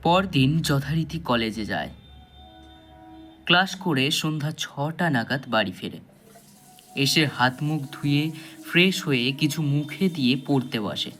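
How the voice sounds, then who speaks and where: A man narrating a scripted thriller story with measured, dramatic phrasing and pauses. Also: fairly high pitch, animated, fairly bright tone. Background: background music.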